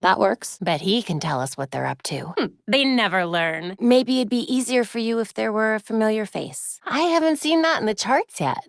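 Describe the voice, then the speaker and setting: A woman's voice: fairly high pitch, big pitch swings, vocal fry, no echo, clean studio sound. A woman playing a brainy, determined young vigilante heroine in a video game, wry, earnest and conversational. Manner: conversational, quick-witted, earnest, talking to herself and teammates.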